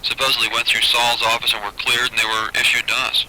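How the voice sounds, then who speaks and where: A man working as a booking agent, talking business haltingly on a noisy old taped phone call. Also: mid-range voice, monotone, sharp tone. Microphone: telephone.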